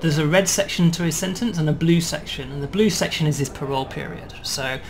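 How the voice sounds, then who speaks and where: A man demonstrating and explaining a game build in a relaxed way, checking in with "right?" as he goes. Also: on the high side, fast talker, gravelly, slight background noise. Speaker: game developer demoing.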